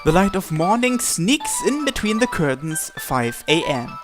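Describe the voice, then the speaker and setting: A man's voice: high-pitched, sing-song, a little slow, music in the background. A man hosting a let's-play, reading a visual novel's narration and every character's lines aloud in his own voice.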